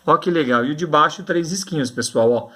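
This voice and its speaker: Bright-voiced Brazilian male math teacher. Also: on the high side, fairly quick. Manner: colloquial, instructive, repeats key points and checks in often. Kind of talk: informal video lesson for schoolchildren.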